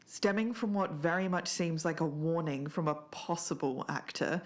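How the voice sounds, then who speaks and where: A woman's voice: deep voice, steady tone, normal speed. A woman co-hosting a podcast, narrating research and reading out quotes. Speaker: podcast co-host.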